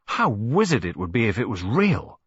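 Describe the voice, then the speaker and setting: A man voicing an eager, excitable young schoolboy, on a dull, dry recording. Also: fairly low pitch, normal speed, slightly rough, dry recording, exaggerated voice.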